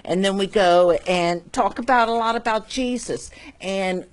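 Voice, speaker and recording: A woman kindergarten teacher talks through her classroom method slowly and haltingly, pausing often between thoughts. Her pitch sits in the middle of the usual range. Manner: halting, many ums and pauses. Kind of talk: casual group sharing.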